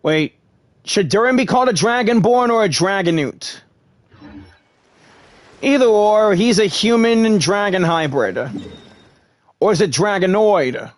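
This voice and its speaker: High-pitched man doing game commentary. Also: lively intonation, measured pace, pauses often, no room sound. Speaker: gaming video creator. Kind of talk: game playthrough commentary, reading dialogue aloud.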